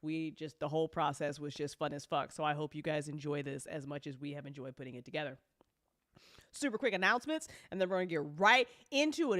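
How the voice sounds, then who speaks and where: An excitable host chatting casually on a live stream, swearing freely and repeating phrases for emphasis. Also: mid-range voice, dry recording, studio quality. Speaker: live stream host and audio drama co-creator.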